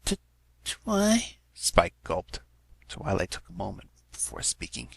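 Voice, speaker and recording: A man's voice: sits low, animated, measured pace, creaky, a bit bright, breathy edge, no echo. A man giving a scripted narration of a story as an amateur reader. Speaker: amateur fan fiction reader.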